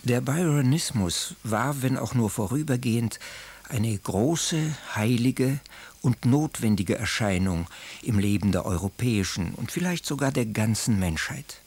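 A man giving a scripted, measured and formal reading of a quotation. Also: mid-range voice, lively intonation, a little mellow, slightly rough.

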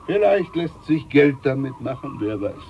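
An actor playing a boastful, condescending older ship's doctor in a radio play. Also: male voice, medium pitch, very animated, moderate pace, dull audio, slight background noise. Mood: pompous. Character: pompous older ship's doctor, self-important.

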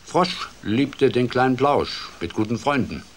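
An actor playing a playful storyteller who tells a children's fairy tale in an expressive, theatrical way for a radio drama. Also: male voice, medium pitch, unhurried, a little hiss or hum, natural voice. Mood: playful, musing.

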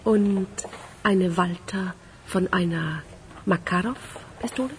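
A woman acting a sharp-witted, ailing criminal investigator with dry humour in a German radio crime play. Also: lowish voice, takes it slowly. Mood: wry, deducing. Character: sharp-witted, ailing female criminal investigator, worn but dryly humorous. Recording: roomy reverberation, faint background noise.

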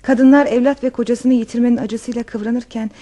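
Actress as high-voiced, refined countess. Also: female voice, a little fast, natural voice. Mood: affectionate, reproachful. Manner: genteel, expressive. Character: refined countess, affectionate, anxious, in a wartime romance. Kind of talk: radio theater acting.

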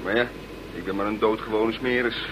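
A man plays a brisk, self-deprecating police inspector in a radio drama. He talks quickly and dryly over clear background noise. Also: on the low side, fairly even intonation, lightly acted.

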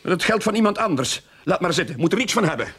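An actor playing a wry man narrating his own crime story in a radio drama, with a husky voice. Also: sits high, animated, fast talker, fairly bright tone, exaggerated voice. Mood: indignant. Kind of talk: radio drama acting with first-person narration.

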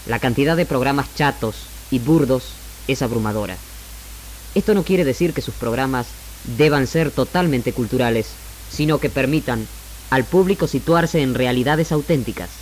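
A man's voice: medium pitch, normal speed, a little hiss or hum, rough recording. A man reading scripted narration as a presenter on 1960s radio. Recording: archival radio recording with music behind. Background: music.

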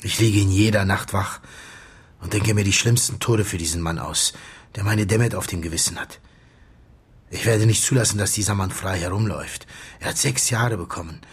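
An actor playing a grieving doctor in a radio drama, confessing in a halting, subdued voice that often sinks toward a whisper. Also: male voice, on the low side, husky. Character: grieving male doctor confessing to his friend's staged death. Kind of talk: German radio drama acting.

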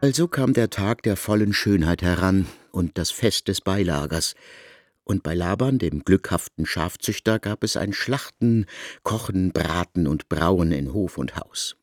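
A man's voice: low-pitched, a little slow, no echo. A man narrating a literary radio drama in a stately, solemn manner.

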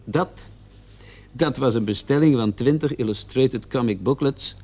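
An actor playing a keen, insistent junior police detective in a radio play, speaking pointedly and emphatically. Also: male voice, medium pitch, measured pace, a little mellow, lightly acted. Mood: teasing, suspenseful. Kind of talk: Dutch-language radio drama dialogue.

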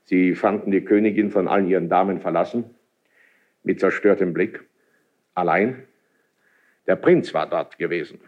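An obsequious court noble talks in a very deep, rough-edged voice. His speech is formal, courtly and insinuating, in the style of a verse radio play with a dull, muffled sound. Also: normal speed, long pauses, a little mellow. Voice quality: husky, deep. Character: obsequious, calculating male nobleman confiding in his monarch. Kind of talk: classical German verse radio drama.

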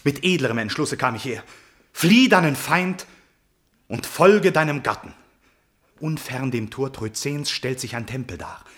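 An actor playing a noble, ardent young prince, declaiming impassioned verse in a classical German radio tragedy. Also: male voice, mid-range voice, expressive, a little slow, fairly bright tone. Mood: solemn devotion.

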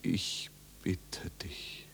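An actor in a 1950s German radio drama playing a tormented young wanderer who pleads with God in despair, moving between breathy whispers and ringing cries. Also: male voice, drawn-out words, fairly bright tone. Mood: feverish, imploring. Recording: noisy 1950s radio recording with hum.

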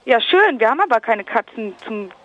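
Man, very high pitch, blunt, indignant, spontaneous phone talk, lo-fi line. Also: big pitch swings, fairly quick. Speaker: private seller answering a prank phone call. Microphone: telephone. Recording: dull, lo-fi telephone line.

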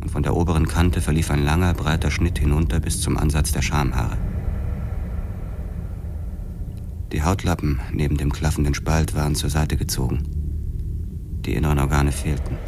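An actor playing a brooding, terse young police detective who narrates a noir crime drama in a measured, sombre way. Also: male voice, very deep voice, little pitch movement, background noise. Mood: grim. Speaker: first-person narrator.

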